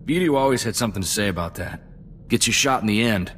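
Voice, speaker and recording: A loyal young spacefaring sidekick with a lowish voice. He speaks sincerely and plainly, with folksy reflection, as in a video game cutscene, over some background noise. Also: lively intonation, quick pace, a bit bright. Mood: humble, sincere.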